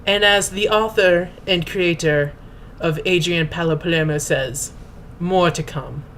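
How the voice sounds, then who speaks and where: A woman's voice: on the low side, unhurried, a bit bright, a little hiss or hum. A woman host reading aloud as a dramatic narrator, in a pompous, mock-grand and formal manner. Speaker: host and reader. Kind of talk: theatrical dramatic reading of fan fiction.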